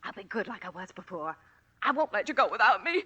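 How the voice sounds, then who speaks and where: An American actress playing a desperate, hard-drinking gangster's moll, emotional and imploring, in 1940s radio drama style. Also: female voice, medium pitch, big pitch swings, quick pace, bright, forceful tone, breathy edge, highs cut off, heavily acted. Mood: desperate, pleading. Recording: old radio broadcast with music behind.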